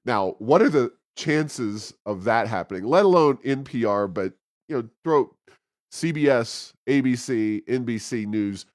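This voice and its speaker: Man, low pitch, dry sound, sardonic, opinionated, conversational political talk, co-host. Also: no echo. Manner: sardonic, mocking emphasis. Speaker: talk show co-host and commentator.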